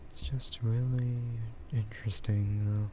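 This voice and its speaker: A man chatting softly in a casual ASMR stream, often slipping toward a whisper, with a rambling, hesitant delivery. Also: fairly low pitch, flat delivery, slow, background noise. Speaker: VTuber streamer. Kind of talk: soft ASMR casual chat.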